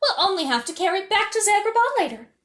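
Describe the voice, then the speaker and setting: Woman putting on a haughty, high-voiced rival prince. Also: big pitch swings, fast, a bit bright, roomy sound, heavily acted. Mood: smug.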